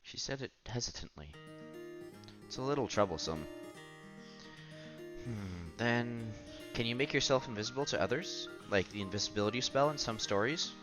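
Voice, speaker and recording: A man's voice: mid-range voice, animated, moderate pace, pauses often. A man streaming a visual novel, reading the text aloud with casual commentary and stumbling over words now and then. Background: game music.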